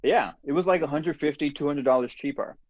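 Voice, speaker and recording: A man hosting a casual podcast conversation, telling relaxed stories with frequent laughs. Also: on the high side, fairly quick, dry recording, lo-fi sound. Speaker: podcast host.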